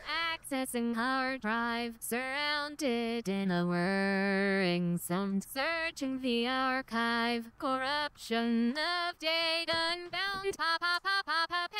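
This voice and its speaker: Synth female singer from a voicebank, very high, stretched-out sung phrases, song playback. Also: sharp tone, heavily acted. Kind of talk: synth vocal in a pop song.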